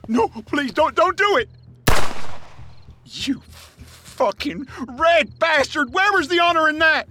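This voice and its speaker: An actor playing a brash, slippery Confederate veteran turned robber, with folksy, excitable, rambling talk in a Western audio drama. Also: male voice, extremely high pitch, slow, a little hiss or hum, heavily acted. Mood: anguished.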